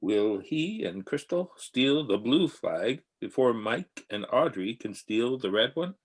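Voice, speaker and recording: A man's voice: mid-range voice, sing-song, slow. A man announcing a scripted sci-fi radio serial and reading out its stage directions.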